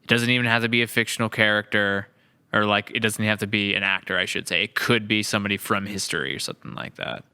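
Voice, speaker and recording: A man's voice: on the low side, fairly even intonation, fast, bright, forceful tone, smooth. A man hosting a podcast in casual chat, effusive and rambling as he talks. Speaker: podcast host.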